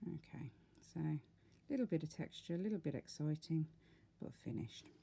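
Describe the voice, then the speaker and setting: A woman's voice: low-pitched, lively intonation, moderate pace, vocal fry, warm tone, slightly rough. A woman giving a casual, step-by-step craft tutorial, talking informally and unhurriedly as she works.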